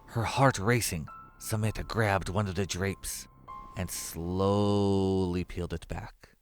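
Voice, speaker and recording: A man's voice: low-pitched, steady tone, slow pace. A man reading scripted horror story narration, with music behind his voice.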